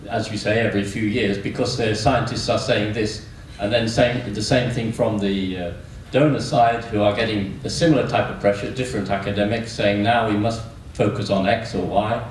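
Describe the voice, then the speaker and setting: A man's voice: on the low side, fairly even intonation, moderate pace, few pauses. A man giving a spontaneous, reflective comment from the audience at a meeting. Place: large echoey room at a meeting. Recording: echoey hall, distant mic, faint noise.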